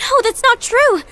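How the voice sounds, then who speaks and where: A woman's voice: very high-pitched, noisy background, full character voice. A woman playing an earnest young apprentice warrior in a dramatic video game cutscene.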